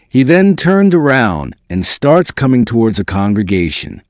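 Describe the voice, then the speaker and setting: A man delivers dramatic scripted narration in a fairly low voice. His pitch moves in a very animated way from phrase to phrase. The recording is dry and close, with no sense of a room around him. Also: no room sound. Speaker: narrator reading a book excerpt.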